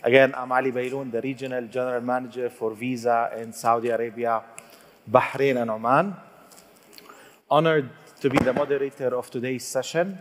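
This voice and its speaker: A man's voice: mid-range voice, fairly even intonation. Man moderating a panel in an echoey hall. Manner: formal, reads introductions with pauses. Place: conference hall. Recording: hall reverb.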